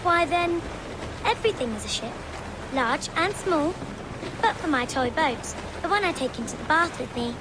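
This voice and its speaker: A woman's voice: high-pitched, expressive, moderate pace. A woman voicing a curious, candid young English girl, a sea captain's daughter, in a video game cutscene. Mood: playful curiosity. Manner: inquisitive, precise, matter-of-fact. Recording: noisy wind, waves and creaking ship.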